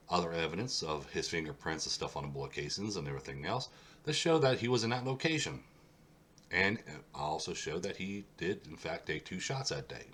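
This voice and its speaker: Very deep-voiced congested man. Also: a bit bright, breathy edge. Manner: insistent, repeats points for emphasis. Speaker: host of a history mystery channel. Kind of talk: solo video talk over a map.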